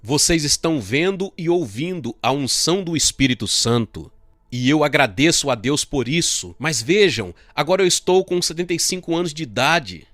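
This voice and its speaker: A man's voice: fairly high pitch, lively intonation, moderate pace, fairly bright tone, dry recording, studio quality. A man with a Brazilian accent reading a dubbed sermon as a first-person testimony.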